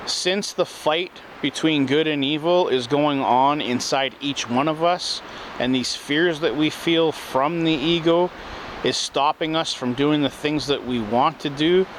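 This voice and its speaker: A man's voice: on the high side, measured pace. Earnest man, faint street noise behind. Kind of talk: spoken self-help talk.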